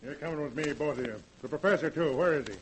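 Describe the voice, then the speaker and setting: An actor playing a blunt, suspicious country sheriff with a brusque, folksy way of talking, in 1940s radio-drama style. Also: male voice, a little high, fast, a little hiss or hum, close to an everyday voice, low-quality recording. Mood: gruff. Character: gruff rural male sheriff, blunt and suspicious.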